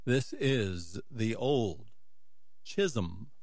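A man's voice: mid-range voice, big pitch swings, extremely slow, gravelly, a little mellow, no room sound, studio quality. A man hosting a music radio show, chatting informally and casually as he introduces old records. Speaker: radio host.

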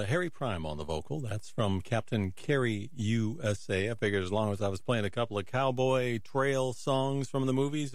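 A man hosting a music radio show, casually announcing old records in an unhurried, musing way as if thinking aloud. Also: medium pitch, vocal fry, no echo, studio quality.

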